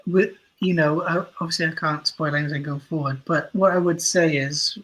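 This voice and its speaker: A man's voice: fairly high pitch, steady tone, moderate pace, fairly bright tone, rough recording. A man being interviewed as an audio drama writer, talking reflectively and a little hesitantly as he thinks aloud.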